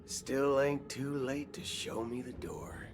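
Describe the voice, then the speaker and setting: An actor playing a swaggering, wry cowboy gunslinger in a video game, talking in folksy slang. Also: male voice, mid-range voice, lively intonation. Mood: drawling. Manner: folksy slang, slow drawling delivery. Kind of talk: video game cutscene acting. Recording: game audio with background music.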